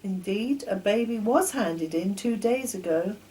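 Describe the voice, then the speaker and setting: An older woman voicing a brisk, businesslike, kindly nun in a children's storybook reading. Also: mid-range voice, animated, mellow, slight background noise, lightly acted, poor audio.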